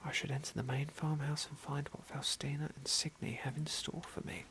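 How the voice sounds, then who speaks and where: British guy whispering through a game playthrough, gravelly low-key asmr, gentle and polite. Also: level tone, a little fast. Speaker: host narrating a game playthrough. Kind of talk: whispered ASMR roleplay and commentary.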